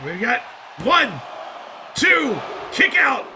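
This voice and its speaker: A man calls wrestling action in a high, swooping voice with a gravelly edge, rambling between jokes and shouted calls. His mic carries a clear layer of background noise. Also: big pitch swings, extremely slow. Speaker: play-by-play commentator. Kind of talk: comedic wrestling commentary.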